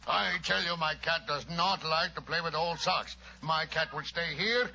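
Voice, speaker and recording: An actor playing an excitable, indignant Italian kitchen worker with broken English in an old radio drama. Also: male voice, on the high side, normal speed, vocal fry, exaggerated voice. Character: excitable Italian hotel kitchen worker, protective cat owner. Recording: dull, noisy old radio recording.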